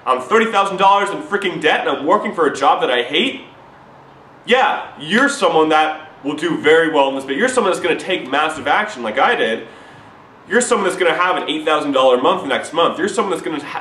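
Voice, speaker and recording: A man giving an urgent, repetitive, preachy sales pitch straight to the camera. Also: lively intonation, fairly quick, bright, forceful tone, reverberant, a little hiss or hum, low-quality recording. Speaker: recruiting pitch to viewers.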